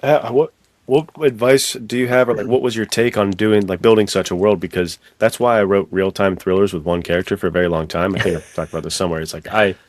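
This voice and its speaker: A man hosting a podcast, chatting informally and thinking aloud in a halting way as he asks about writing. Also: fairly low pitch, steady tone, no room sound. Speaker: podcast host and thriller novelist. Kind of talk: casual interview chat.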